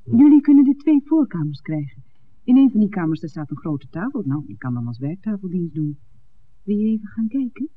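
An actress playing a friendly, obliging young innkeeper's daughter in a 1960s Dutch radio drama. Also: female voice, medium pitch, very animated, a little fast, slightly rough. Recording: muffled, narrow old radio recording.

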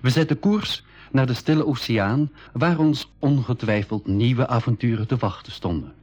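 A man's voice: mid-range voice, normal speed, darkish tone, natural voice. A man playing a storyteller who narrates his own adventures in a scripted school radio play. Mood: calm narrating. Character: male storyteller recalling his jungle adventures. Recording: dull, lo-fi 1980s radio recording.

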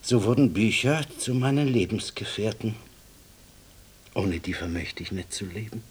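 A gentle, bookish, devout librarian in a radio play. His low voice is extremely breathy and rises and falls expressively as he speaks with reverence and deference. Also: lively intonation, normal speed. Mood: reverent, wistful. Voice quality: husky, breathy. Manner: reverent, reflective storytelling with deferential asides. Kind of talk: radio drama dialogue.

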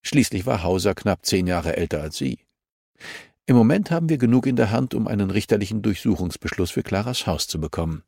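A man's voice: fairly low pitch, animated, brisk, vocal fry, mellow, no echo, studio quality. A man voicing a businesslike female investigator in an audiobook, firm, wry and politely insistent. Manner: matter-of-fact, politely insistent.

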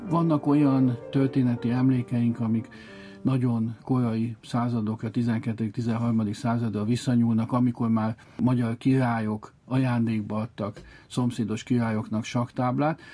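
Husky, dark-voiced man explaining history. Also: mid-range voice. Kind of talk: explanatory storytelling talk.

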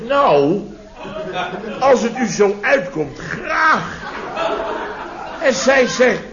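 A pompous, indignant building boss in a radio comedy. His voice sits rather high and leaps about animatedly as he blusters and repeats himself. The recording is old and dull. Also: vocal fry, heavily acted.

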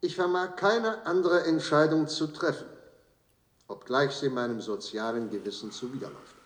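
An actor playing a solemn, troubled judge delivering a verdict in a radio drama, with formal, grave and emphatic phrasing. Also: male voice, on the high side, moderate pace, natural voice. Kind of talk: German radio drama courtroom speech. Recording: echoey courtroom broadcast sound.

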